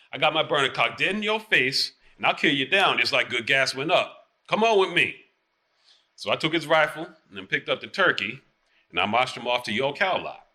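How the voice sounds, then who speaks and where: A very bright, forceful folksy Southern town marshal, acted by a man. He rambles and boasts in country dialect, hedging his way around every excuse like a character on stage. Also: on the high side. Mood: boastful. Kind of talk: theatrical dialect storytelling.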